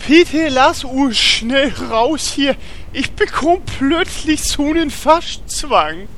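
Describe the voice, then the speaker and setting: A man's voice: very high-pitched, animated, takes it slowly, fairly bright tone, slight background noise, heavily acted. A man playing a big, strong, simple-minded warrior in a German fantasy parody audio drama, ponderous in manner. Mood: queasy. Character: big strong slow warrior, speaks of himself by name.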